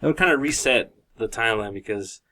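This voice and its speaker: A man's voice: mid-range voice, quick pace, pushed and bright. A man of about thirty hosting a podcast in casual, informal group chat. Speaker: podcast host.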